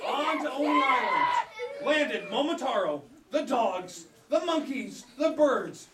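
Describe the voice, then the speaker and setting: A woman tells a children's story live with big swoops in her voice and theatrical, drawn-out phrasing. She is heard from a distance in an echoey room, performing for a young audience. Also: sits high, lively intonation, over a noisy crowd. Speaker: storyteller for a children's puppet show. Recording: echoey room, far from mic, audience noise. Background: audience of a live show.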